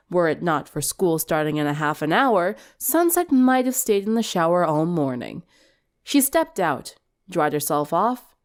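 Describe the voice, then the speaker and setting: A woman reading a scripted story aloud as its narrator, in an expressive storytelling style. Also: mid-range voice.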